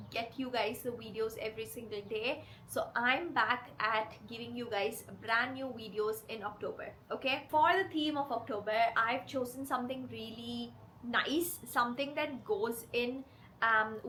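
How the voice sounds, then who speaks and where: A woman with a cold that leaves her voice hoarse and rough, hosting her channel and talking earnestly and directly to her viewers. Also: on the high side, sharp tone, a little hiss or hum, low-quality recording. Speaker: channel host. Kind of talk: confessional vlog talk to camera.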